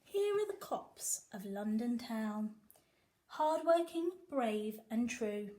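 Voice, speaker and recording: A woman teacher reading a picture book aloud to young children, with animated, sing-song emphasis. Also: a little high, slow. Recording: echoey room.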